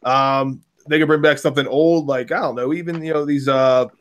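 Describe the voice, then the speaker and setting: A man with a hoarse voice chatting casually about video games, rambling and cursing with many restarts. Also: medium pitch, steady tone, fairly quick, fairly bright tone. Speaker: podcast co-host. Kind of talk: casual gaming chat.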